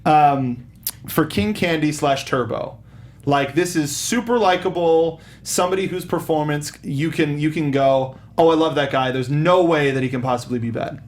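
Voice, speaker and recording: A man's voice: fairly high pitch, moderate pace. A man hosting a casual film discussion panel, chatty and given to acting out little asides. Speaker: podcast host. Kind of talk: casual panel chat about films.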